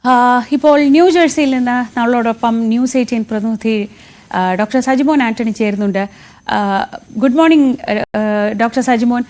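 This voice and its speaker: A woman's voice: fairly high pitch, normal speed, a little mellow. A woman anchoring live news and putting questions to a correspondent.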